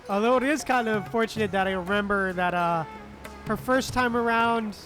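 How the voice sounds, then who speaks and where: A man giving casual live reactions as a game streamer, slangy and wry, often in short interjections. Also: high voice. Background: faint game music.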